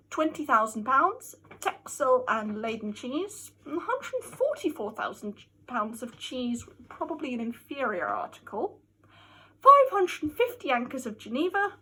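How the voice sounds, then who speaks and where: A woman with a high, husky voice performs a dramatic reading of classic literature. Her delivery is theatrical and mock-scholarly, with lively, sweeping intonation and strong emphasis. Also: low-quality recording. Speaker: amateur reader.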